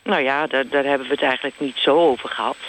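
A man's voice: mid-range voice, level tone, fast talker, a bit bright, a little hiss or hum, natural voice, rough recording. A man playing a flustered, vague executive's wife who talks hesitantly and evasively in a mock radio interview.

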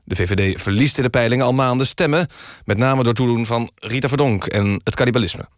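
A man presenting satirical news as a deadpan radio magazine host. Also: medium pitch, brisk.

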